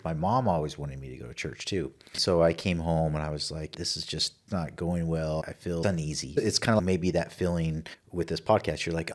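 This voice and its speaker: A middle-aged American man hosting a casual podcast conversation, speaking in an earnest, personal and informal way. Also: sits low. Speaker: podcast host.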